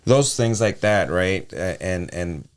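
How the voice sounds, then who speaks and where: A man with a deep voice co-hosting a podcast in casual chat, often restarting his sentences. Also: lively intonation, takes it slowly, smooth. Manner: restarts and trails off often, conversational. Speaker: podcast co-host and freelance voiceover artist.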